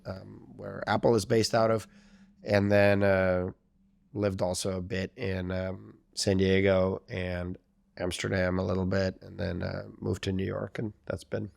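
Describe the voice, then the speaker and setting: Guest, man, thirties, Californian accent, low and gravelly, relaxed rambling talker with a dry, close sound. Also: unhurried, no room sound. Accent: Northern Californian. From Russia, raised in California. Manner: relaxed, rambling, heavy use of "like" and "um", laughs often. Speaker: podcast guest. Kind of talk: casual interview chat.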